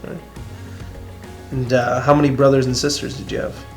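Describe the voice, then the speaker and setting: Hesitant grandson interviewing family. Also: male voice, mid-range voice, level tone, brisk, a little mellow, soft background music. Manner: hesitant, many fillers, short prompts.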